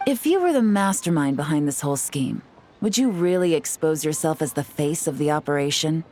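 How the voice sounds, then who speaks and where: A woman voicing a calm, composed female intelligence agent who explains things in a measured, dry way, in a video game. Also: low voice, slight background noise. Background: soft game music.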